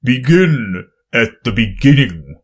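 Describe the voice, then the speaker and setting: A man voicing a fussy, blustering old king presiding over a courtroom, with loud, pompous pronouncements. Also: medium pitch, lively intonation, slow pace, breathy edge.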